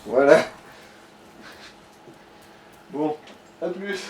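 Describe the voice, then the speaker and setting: Fairly high-voiced man chatting casually. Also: lively intonation, very slow, darkish tone, distant mic, a little hiss or hum. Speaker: companion watching the game. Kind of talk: casual chat.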